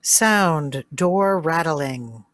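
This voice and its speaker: A woman's voice: low-pitched, animated, very slow. A woman reading sound effect cues aloud in a live radio drama, announcing each cue plainly. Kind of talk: reading stage directions aloud.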